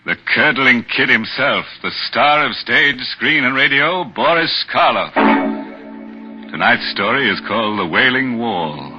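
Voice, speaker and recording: An actor playing a gleefully morbid horror host on 1940s radio, theatrical and sardonic. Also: male voice, mid-range voice, expressive, unhurried, natural voice. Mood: mock ominous. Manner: sardonic, theatrical, savoring macabre jokes. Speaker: host and narrator. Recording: dull old radio recording, music behind.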